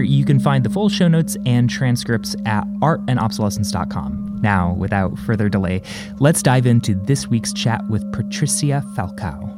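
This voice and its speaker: Male podcast host, upbeat scripted intro, heavy music bed beneath. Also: medium pitch. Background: music underneath.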